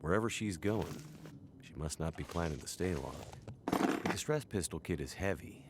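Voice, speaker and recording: An actor playing a bush pilot in a video game, matter-of-fact with a dry, wry manner. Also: male voice, low-pitched, expressive, moderate pace, heavy background noise. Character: gruff bush pilot man, dry humor. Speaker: game character. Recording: game audio with loud music.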